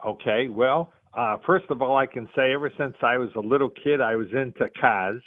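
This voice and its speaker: A middle-aged man with a Boston accent, a guest telling stories in a relaxed, rambling way with many fillers. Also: medium pitch, normal speed, no room sound. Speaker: guest, car museum founder. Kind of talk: casual interview storytelling. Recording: tinny phone line.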